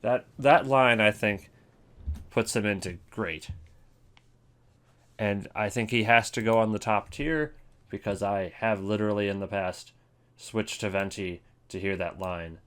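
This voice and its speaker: A man's voice: lowish voice, measured pace, fairly bright tone, clean tone. A man giving casual, opinionated commentary as the host of a ranking video.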